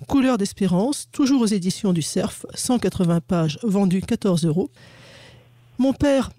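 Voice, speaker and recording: A woman hosting a radio interview in a formal, measured way. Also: medium pitch, mellow, no echo. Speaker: radio host.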